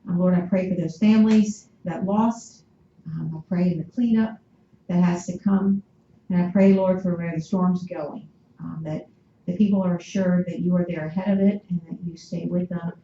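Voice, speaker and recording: A woman leading an earnest spoken prayer, pausing often and using many fillers. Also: on the low side, flat delivery, dark tone, a little hiss or hum. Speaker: group member leading prayer. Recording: dull echoey room recording.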